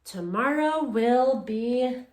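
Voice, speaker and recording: A woman teacher reading a picture book aloud to children in English and then Spanish, pausing to ask them questions. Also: a little high. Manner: expressive storytelling, asks children questions. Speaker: elementary school teacher. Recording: echoey room.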